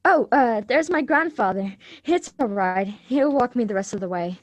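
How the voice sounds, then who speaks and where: A woman's voice: a little high, expressive, normal speed, no room sound. A woman playing a polite, evasive, bookish schoolgirl in a live radio drama reading, with a hesitant, stammering delivery. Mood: flustered.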